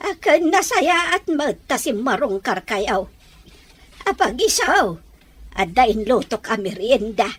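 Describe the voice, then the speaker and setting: Kindly Ilocano grandmother, acted by a woman: slow, high voice with swooping intonation, fussing and sighing. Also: big pitch swings, husky, exaggerated voice. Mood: warm, welcoming. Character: kindly old Filipino grandmother welcoming her grandchildren. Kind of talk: Ilocano audio drama acting.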